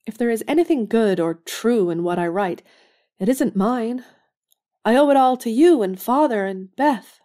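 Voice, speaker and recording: A woman's voice: a little high, animated, moderate pace, warm tone, natural voice. An actress playing an earnest, self-doubting young woman writer in an audio drama, frank in manner. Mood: humble, puzzled. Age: young adult.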